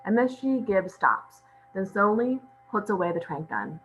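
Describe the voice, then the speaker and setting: Woman reading script directions, normal pitch, bit of hum in the bg. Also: lively intonation, measured pace, fairly bright tone, poor audio. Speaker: stage-direction reader. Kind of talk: scripted narration at a table read.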